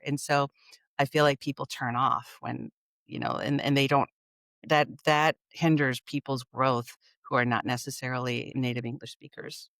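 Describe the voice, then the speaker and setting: A woman with a Californian accent, a guest in a casual interview, talking things through thoughtfully and trailing off into softer asides. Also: extremely low pitch, gravelly, no room sound. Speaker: podcast guest, culture strategist.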